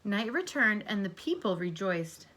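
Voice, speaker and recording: A woman with a medium-pitched voice reads a children's book aloud like a teacher. She speaks to young listeners in an encouraging manner and puts simple questions to them. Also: very animated, a little slow, bright, forceful tone.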